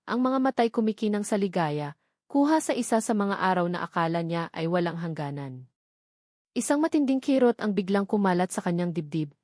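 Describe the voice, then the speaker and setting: A woman's voice: mid-range voice, darkish tone, no echo, studio quality. A woman with a Filipino accent narrating a scripted romantic drama as a storyteller.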